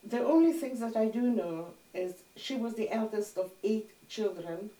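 A woman's voice: medium pitch, normal speed, mellow. Older Hungarian-born woman recalling her past. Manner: reflective, plain-spoken storytelling. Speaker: interviewee. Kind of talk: oral history interview. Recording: roomy sound from a distant mic.

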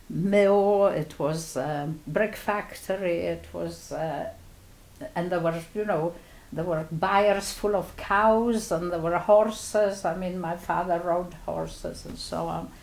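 An older woman from Poland, a native Polish speaker, reflectively recounting her childhood in an oral history interview, often pausing with "you know" and "uh". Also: on the low side, vocal fry, darkish tone, echoey room, rough recording.

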